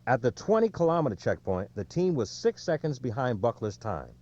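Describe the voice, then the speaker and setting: A man reading an audiobook in a measured, clearly scripted storytelling delivery, recorded with slightly lo-fi sound. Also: mid-range voice, sing-song, a little mellow. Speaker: audiobook narrator.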